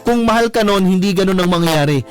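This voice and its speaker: A young man hosting a casual radio call-in show, teasing and full of expressive interjections. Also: high voice, fast talker. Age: 20s. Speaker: radio host. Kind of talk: casual live radio call-in chat, reading listener comments. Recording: music bed behind. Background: faint background music.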